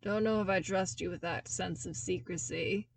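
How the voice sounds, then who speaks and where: A woman's voice: on the low side, moderate pace, vocal fry, husky, no room sound, far from the mic. A woman voicing a blunt, wry young male trainee, grudgingly playful, in an audio story. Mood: teasing grumble. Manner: blunt, dry, grumbling.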